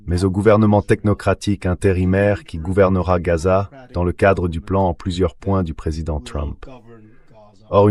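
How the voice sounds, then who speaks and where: Male expert guest, very low voice, measured explanations, translated synthetic voice-over. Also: a little mellow. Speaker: expert guest, international relations professor. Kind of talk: translated voice-over of political analysis.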